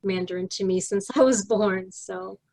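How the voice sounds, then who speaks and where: A woman from Brazil chatting on a video call. She is friendly, laughs easily and explains things clearly. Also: mid-range voice, moderate pace, darkish tone. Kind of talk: casual video call chat.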